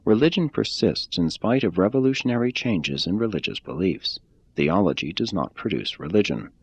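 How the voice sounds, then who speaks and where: A man reading a religious text aloud in a measured, deliberate way. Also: low voice, very animated, breathy edge, dry recording. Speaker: reader.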